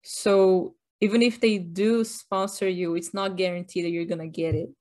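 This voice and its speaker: A woman's voice: lowish voice, steady tone, moderate pace, a little mellow. Brazil-raised woman, podcast co-host, wry and chatty. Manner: wry, joking, chatty explainer. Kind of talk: casual chat.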